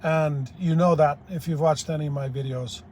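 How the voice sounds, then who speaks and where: A blunt older man, Irish-born, holds forth in a rambling, plain-spoken monologue. His voice sits a touch high for a man, with a faint hum of noise behind him. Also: steady tone, normal speed, darkish tone. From Ireland. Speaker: YouTube commentator. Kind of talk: opinionated monologue.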